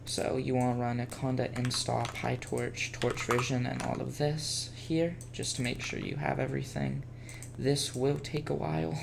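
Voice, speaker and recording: Male tutorial presenter, informal screencast narration, medium pitch with noticeable vocal fry. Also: level tone, moderate pace. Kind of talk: casual software tutorial screencast voice-over. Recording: faint background noise and keyboard typing.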